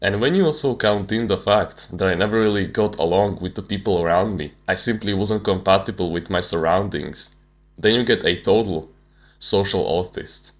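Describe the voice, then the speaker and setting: Deep-voiced young man. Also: normal speed. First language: Slovak. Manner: casual, slangy, candid. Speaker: self-improvement channel host. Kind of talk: personal storytelling monologue.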